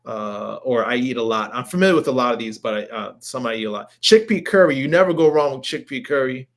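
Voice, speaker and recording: A man's voice: medium pitch, lively intonation, fast. A man hosting a live show as a health coach, chatting casually and enthusiastically, rambling and repeating himself as he browses. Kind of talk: casual livestream chat while browsing recipes.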